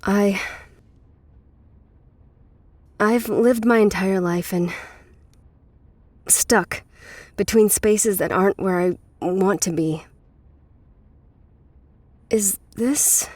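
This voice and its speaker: An actress playing a weary, guarded middle-aged woman with a bitter past in a naturalistic, measured audio drama performance. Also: medium pitch, a little mellow, no echo. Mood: vulnerable, relieved.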